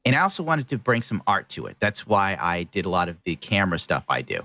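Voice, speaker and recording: A man's voice: sits low, very animated, fast talker, bright, forceful tone, no room sound. A man guest on a hobby podcast, talking casually with blunt, profane jokes. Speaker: guest painter and video maker. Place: small room.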